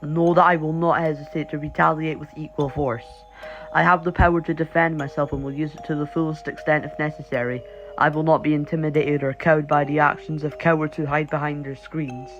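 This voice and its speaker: A man's voice: fairly high pitch, vocal fry, fairly bright tone. A man reading a scripted horror story as the narrator of an online video. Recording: dull, lo-fi voice track. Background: background music.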